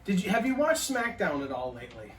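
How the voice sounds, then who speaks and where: A man's voice: high-pitched, big pitch swings, quick pace, fairly bright tone, a little hiss or hum. Echoey, distant man in his forties. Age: 40s. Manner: profane, laid-back banter. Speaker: podcast co-host. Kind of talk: casual chat. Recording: echoey room, mic at a distance.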